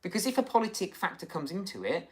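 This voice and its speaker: A man's voice: sits high, very animated, quick pace, poor audio. A man hosting a casual livestream chat, reading out viewer comments in a rambling, chatty way. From United Kingdom. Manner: chatty, rambling, many fillers and restarts. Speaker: livestream host.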